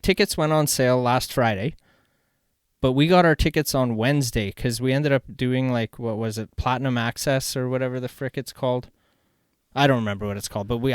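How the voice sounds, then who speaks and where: A Canadian man hosting a podcast, telling rambling, slangy stories in an excitable, casual way. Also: mid-range voice, clear, resonant tone, no echo, studio quality. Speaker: podcast host. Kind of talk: casual chat, storytelling.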